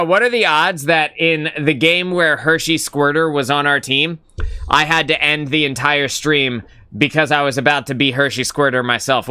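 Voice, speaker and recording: Young male stream host, clear bright tone, casual and rambling, swears freely. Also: fairly high pitch. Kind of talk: casual gaming stream chat.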